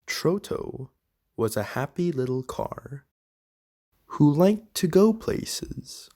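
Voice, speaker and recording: A reader voicing a friendly moose who gently reads storybooks aloud to young children in a deliberate way. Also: medium pitch. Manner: slow, deliberate, addressing children directly. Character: Alex the Moose, friendly storybook-reading moose of the enchanted woods.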